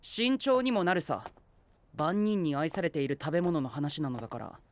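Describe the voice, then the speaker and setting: A man playing a cautious, courteous young gentleman in an anime-style voice drama, formal and precise. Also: fairly high pitch, animated, normal speed, slight background noise, natural voice. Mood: careful, polite.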